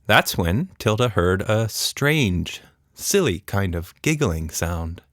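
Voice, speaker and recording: A man's voice: fairly low pitch, sing-song, slow pace, a bit bright, no echo, clean studio sound. A man narrating a scripted children's story as a storyteller.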